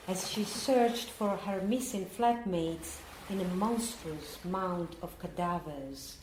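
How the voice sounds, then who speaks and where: An actress playing a solemn, measured narrator recounting an apocalypse in an audio drama. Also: female voice, lowish voice, background noise. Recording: roomy echo, faint background ambience.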